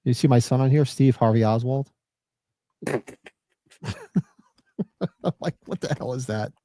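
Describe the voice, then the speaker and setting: A man co-hosting a live podcast, chatting loosely, joking and reading chat comments, a little hoarse. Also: mid-range voice, fairly even intonation, unhurried, dark tone, no echo. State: losing his voice. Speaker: podcast co-host. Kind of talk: casual live-stream chat.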